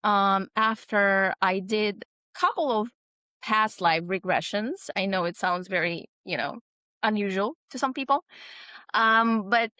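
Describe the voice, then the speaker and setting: Slow-spoken woman, middle-aged. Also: mid-range voice, sharp tone, smooth, no room sound. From Russia. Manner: thoughtful, frequent "um" and "right?", tag questions. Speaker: author guest. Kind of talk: conversational interview answer.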